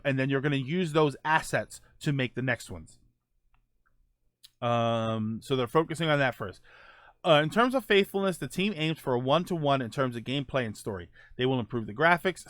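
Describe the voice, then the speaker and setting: A man's voice: on the high side, a bit bright, dry recording, clean studio sound. A man hosting a casual podcast, reading viewer topics and tweets aloud with many ums and restarts. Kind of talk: casual solo podcast chat.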